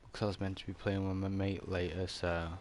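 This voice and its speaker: Low-voiced man casually streaming a game. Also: flat delivery, brisk, a little mellow. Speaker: gaming streamer. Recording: faint background noise.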